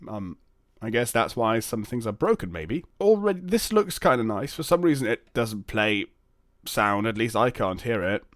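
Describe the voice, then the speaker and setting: A man hosting a casual, unscripted software demo video, thinking aloud in hesitant, stop-and-start phrases. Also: lowish voice, very animated, moderate pace, no room sound, clean studio sound. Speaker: host of a Linux software video channel.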